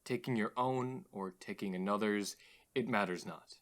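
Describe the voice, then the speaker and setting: An actor playing an earnest, philosophical radio host in an audio drama, formal and slightly theatrical. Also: male voice, lowish voice, moderate pace, a bit bright, lightly acted. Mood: grave.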